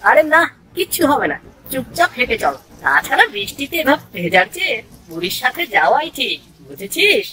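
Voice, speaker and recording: A woman's voice: high voice, sing-song, brisk, sharp tone, breathy edge, heavily acted, poor audio. A woman voicing a brave, practical village boy, a bossy and reassuring older brother in a children's cartoon. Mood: reassuring, firm.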